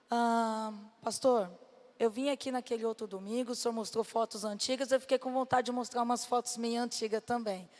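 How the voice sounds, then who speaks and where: Woman, Brazilian Portuguese accent, slightly hoarse, fairly high pitch, church testimony, reverberant hall. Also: a little mellow. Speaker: missionary, guest speaker. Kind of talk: personal testimony to a church congregation. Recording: reverberant hall sound through PA.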